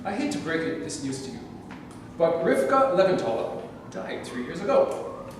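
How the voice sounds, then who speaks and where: A man reads a firm detective. His voice is high and lively, and it sounds echoey and far from the mic. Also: normal speed, noisy background. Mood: stern. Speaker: actor in a staged reading. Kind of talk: staged play reading.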